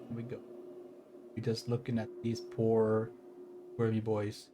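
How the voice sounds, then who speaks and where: A man's voice: lowish voice, completely flat delivery, a little slow, noisy background. A man streaming a game, chatting casually and rambling, easily surprised by what he sees. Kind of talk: casual live commentary.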